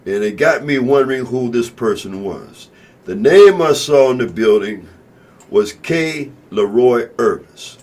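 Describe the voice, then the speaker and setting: A man's voice: medium pitch, expressive, measured pace, darkish tone. Rambling talk-radio host, man. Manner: rambling, repeats phrases, many fillers. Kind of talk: casual talk-radio monologue.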